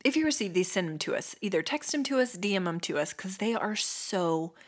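A woman's voice: mid-range voice, lively intonation, brisk. A woman co-hosting a casual podcast, giggling often and reading listener texts aloud. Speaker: podcast co-host. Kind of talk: casual chat.

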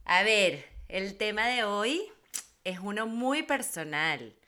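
Bright-voiced woman podcaster. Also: medium pitch, animated, slow pace. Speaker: podcast co-host. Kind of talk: casual conversational podcast talk.

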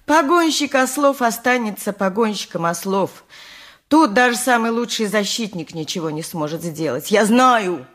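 Husky, long-suffering poor wife. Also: female voice, mid-range voice. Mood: plaintive. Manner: plaintive, emphatic, wry. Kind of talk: radio play acting, 1980s, dramatic monologue.

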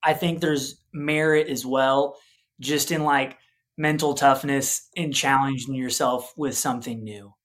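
A man's voice: medium pitch, steady tone, unhurried, a bit bright. A man in his early thirties hosting an interview podcast, talking in an upbeat, casual way. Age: 30s. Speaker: podcast host.

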